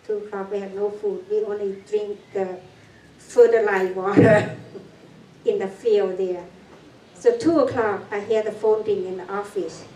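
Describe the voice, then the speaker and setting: An older woman from Cambodia with a Cambodian accent, giving a personal testimony talk in halting, broken English with nervous laughs. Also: mid-range voice, mellow. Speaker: guest speaker. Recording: echoey room, far from mic.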